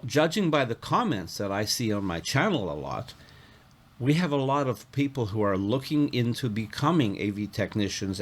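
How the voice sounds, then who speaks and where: A man talks to his online viewers in a voice of medium pitch. He tells his story conversationally and explains things deliberately, restating points for emphasis. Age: 60s. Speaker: YouTube host, AV technician.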